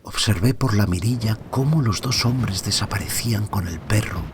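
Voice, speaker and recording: A man playing an anxious married father who narrates a mystery audio drama in a brooding, hushed way, often dropping toward a whisper. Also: lowish voice, normal speed, background noise, natural voice. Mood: uneasy, brooding. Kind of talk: audio fiction first-person dramatic narration.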